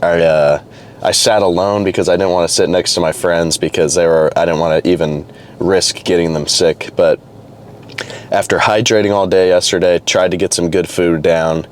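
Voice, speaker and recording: A young man vlogging casually to camera, a little hoarse and congested from a cold, rambling loosely with restarts and fillers. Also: low-pitched, fairly even intonation, moderate pace, slight background noise. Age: young adult. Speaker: fitness vlogger. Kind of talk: casual vlog talk to camera.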